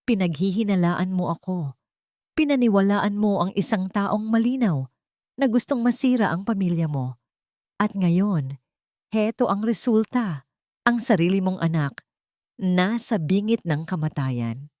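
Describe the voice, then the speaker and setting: A woman voicing a wronged young nanny in an audiobook drama, firm and intense, with deliberate phrasing. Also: mid-range voice, lively intonation, mellow, no room sound, studio quality, close to an everyday voice. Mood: cold, firm.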